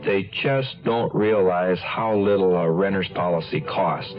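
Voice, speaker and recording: A man speaks as an insurance agent in a radio testimonial. His voice is fairly low and slightly dull in the recording, with loud music underneath. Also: unhurried.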